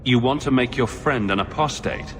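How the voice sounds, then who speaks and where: An actor voicing a pragmatic, businesslike adventurer with a terse, direct delivery in a fantasy video game. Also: male voice, medium pitch, moderate pace, a bit bright, natural voice. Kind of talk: dramatic video game voice acting. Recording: reverberant hall, clear background noise.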